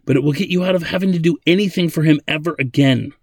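A man's voice: fairly high pitch, fast talker, no echo, clean studio sound, voice pushed up. A man voicing an eager but sheepish boy programmer in a narrated story, with a hesitant, pleading manner. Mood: apprehensive.

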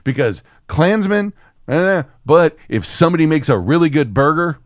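A man's voice: a little high, big pitch swings, moderate pace, no room sound, clean studio sound. An American man co-hosting a podcast, chatting casually with a sarcastic, ranting, sweary manner. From Texas, United States. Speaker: podcast co-host. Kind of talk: casual opinionated chat.

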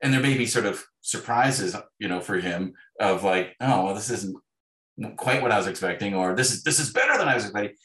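A man's voice: lowish voice, lively intonation, brisk, a bit bright. A man speaking as a guest author in a conversational interview, acting out imagined dialogue as he tells his stories. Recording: roomy, echoey sound.

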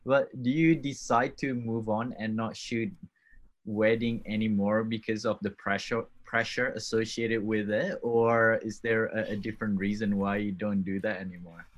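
A man's voice: lowish voice, flat delivery, normal speed. Man, podcast host, hesitant, lots of ums, friendly. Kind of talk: casual podcast interview.

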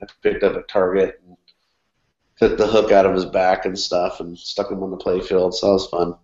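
A man's voice: low voice, level tone, normal speed, a little mellow. Stuffy-nosed man rambling in an echoey room. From California. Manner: rambling with many fillers and restarts. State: stuffy, nasal from a cold. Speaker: podcast co-host. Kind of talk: casual chat. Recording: echoey room, dull lo-fi sound.

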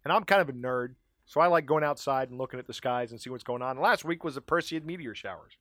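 A man from Maryland co-hosting a casual podcast, ranting in an animated way with frequent laughs, his voice starting to go. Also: mid-range voice, quick pace, no echo. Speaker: podcast co-host.

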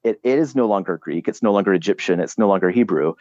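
A man speaking as a podcast guest, explaining ideas in a halting, reflective way with many restarts and fillers. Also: fairly low pitch, animated, a little mellow, no room sound, lo-fi sound.

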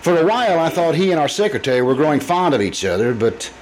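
A man's voice: a little high, very animated, fast talker, a little hiss or hum, rough recording. An actor playing an anxious, troubled businessman in an old-time radio drama, speaking hesitantly with stammers. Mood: uneasy, resentful. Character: troubled, anxious businessman confessing violent urges to his doctor.

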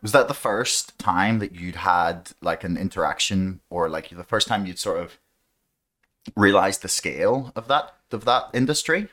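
Low-voiced podcast host guy, stumbles a lot, um yeah like, casual. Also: expressive, a bit bright. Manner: hesitant, halting with many fillers.

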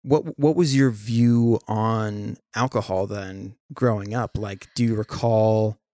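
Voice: medium pitch, steady tone, slow, dark tone, no room sound, studio quality. Laid-back, profane podcast host. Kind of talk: casual interview chat.

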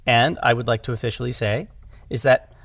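A man in a casual conversation as a podcast guest and director, halting and rephrasing as he goes and acting out bits of imagined dialogue. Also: mid-range voice, fairly even intonation, fairly bright tone, no room sound, clean studio sound.

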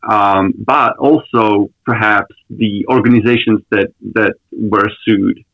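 A man in his thirties from the Czech Republic, a lawyer being interviewed on a podcast, answering thoughtfully with many pauses and restarts. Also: on the low side, fairly even intonation, no echo, dull audio. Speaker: lawyer, guest.

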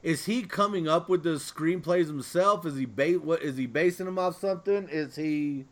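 A man hosting a podcast, chatting casually in an informal, halting way. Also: fairly high pitch. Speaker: podcast host. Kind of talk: casual chat.

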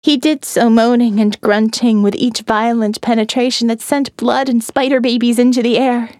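A woman narrating a first-person horror audiobook, slow and hushed, often dropping to a whisper. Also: a little high, flat delivery, no room sound, studio quality.

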